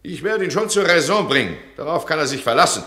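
A furious, imperious duke. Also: male voice, a little high, animated, fast, sharp tone. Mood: stern. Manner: commanding and scornful, swings from mocking to furious. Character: imperious, hot-tempered male duke, absolute ruler. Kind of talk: radio drama acting. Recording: roomy studio echo.

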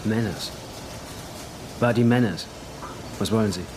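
A low-pitched, offhand garage worker acted by a man in a radio crime drama. He talks casually with a callous, guarded edge. Clear workshop noise sits behind him. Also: a little slow, close to an everyday voice. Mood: offhand, wary. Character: casual, bigoted male auto body painter.

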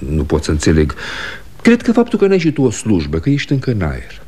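Casting: eager younger brother, lowish voice, hugely varied intonation, questioning, radio-drama delivery. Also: male voice, breathy edge, exaggerated voice. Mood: concerned. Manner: lively, questioning. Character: younger brother, eager, curious, concerned grown son. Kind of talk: 1960 Romanian radio theatre acting.

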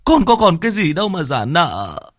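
Guy putting on a high whiny broke peasant, stuttering and begging, dry close sound. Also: very animated, takes it slowly, gravelly, dry recording, exaggerated voice. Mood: pleading. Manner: stammering, pleading, wailing. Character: desperate poor peasant man, whimpering, stammering.

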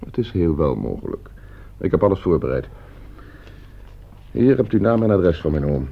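Gravelly, suave, deep-voiced schemer. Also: male voice, sing-song, normal speed, full character voice. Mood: calm, commanding. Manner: suave, calculating, condescending. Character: coldly scheming, broke gentleman heir plotting his uncle's murder. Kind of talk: Dutch radio play acting. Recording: muffled old radio play recording.

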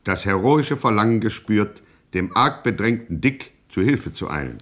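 A man's voice: fairly low pitch, expressive, moderate pace, a bit bright. Mock-heroic male radio narrator. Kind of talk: dramatic scripted narration of a satirical radio play.

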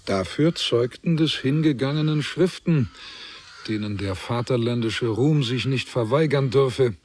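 A man narrating a literary radio drama in a deliberate, scripted manner. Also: medium pitch, darkish tone, husky.

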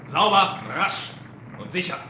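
A man's voice: high-pitched, a little slow, heavy background noise. An actor playing a smug, menacing fire captain who lectures his fallen subordinate in a mocking, pontificating way, in 1970s German radio drama style. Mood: gloating, sardonic.

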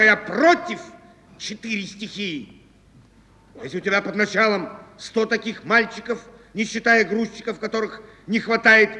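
An actor playing a proud, defiant taiga transport boss with theatrical, emphatic delivery in a Soviet radio drama. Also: male voice, high-pitched, pushed and bright. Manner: theatrical, emphatic, with deliberate pauses. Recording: echoey hall, faint noise, old archive.

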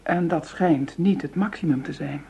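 An actress playing a cool, seductive dealer in shady money deals, poised and teasing, in a radio drama with some background noise. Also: female voice, low voice, moderate pace, vocal fry, mellow, breathy edge, heavily acted. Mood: poised, probing.

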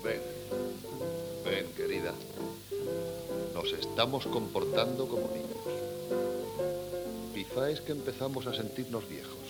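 Deep-voiced, wry middle-aged father. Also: animated, measured pace, pauses often, over loud music, rough recording. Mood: tender. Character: dry, resigned, affectionate father and husband. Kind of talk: 1970s radio drama acting. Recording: old cassette radio recording.

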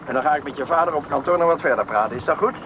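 An actor playing a brisk, helpful railway construction official in a radio drama. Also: male voice, a little high, natural voice. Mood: proud, explaining. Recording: clearly noisy outdoor radio play scene.

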